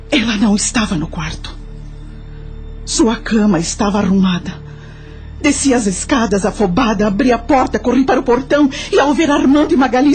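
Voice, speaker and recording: A woman's voice: high-pitched, a little mellow. Lady voicing sad older brazilian mom narrating her grief, audio drama, faint music behind. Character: grieving, lonely older widowed mother recalling her losses.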